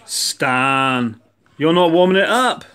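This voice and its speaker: A man's voice: a little high, animated, slow pace, fairly bright tone. A man giving a casual home science demonstration to children, explaining in an encouraging, playful way. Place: indoors in a home living room. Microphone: handheld phone behind the camera.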